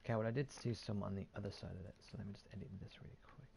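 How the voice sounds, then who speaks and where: A man with a low voice and a lot of fry talks casually through his gameplay. He swears freely and mutters to himself as he goes. Game noise is clearly heard behind him. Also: racing, a little mellow. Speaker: gaming video host. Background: video game sounds.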